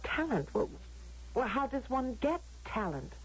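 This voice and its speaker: A woman's voice: on the high side, sing-song, measured pace, slightly rough, exaggerated voice. An actress with an American accent playing a yearning, earnest young woman in a theatrical, questioning radio drama style. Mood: pleading, hopeful. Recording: muffled, lo-fi old radio broadcast.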